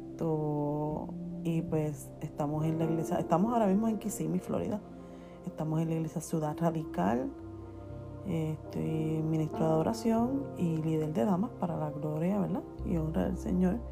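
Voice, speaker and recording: A woman's voice: deep voice, moderate pace. A middle-aged woman with a Puerto Rican accent, co-hosting a casual faith chat. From Puerto Rico. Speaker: podcast co-host, worship minister. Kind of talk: casual chat with religious interjections. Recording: music playing clearly behind voice. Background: music.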